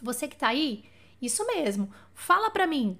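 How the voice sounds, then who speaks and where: High-voiced chatty Brazilian teacher. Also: female voice, sing-song, normal speed, a bit bright. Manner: chatty, asks for feedback with frequent "tá?". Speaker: French teacher. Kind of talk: live-streamed language lesson. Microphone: live stream setup.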